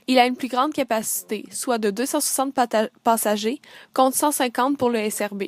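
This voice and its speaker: A woman reading scripted documentary narration in a clear voice. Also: on the high side, normal speed, no room sound.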